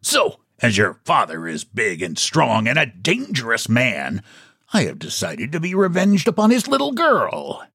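A man's voice: mid-range voice, wildly swooping pitch, creaky, bright, forceful tone, husky, dry recording, clean studio sound, full character voice. Man playing a sly, pompous, swooping storybook book agent. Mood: vengeful. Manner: mock-polite, mysterious, brisk, pompous. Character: short fat shabby book agent, sly and courteous. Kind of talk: storybook character voice.

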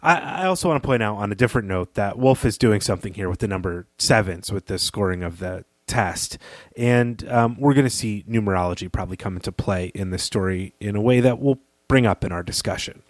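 A man's voice: lowish voice, fairly quick, no room sound. A man co-hosting a podcast, talking through literature in an articulate, analytical and emphatic conversational way. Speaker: podcast co-host.

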